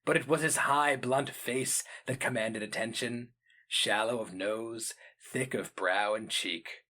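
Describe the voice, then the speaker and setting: A man giving a grave, measured, theatrical reading of epic fantasy as the narrator. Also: mid-range voice, steady tone, sharp tone.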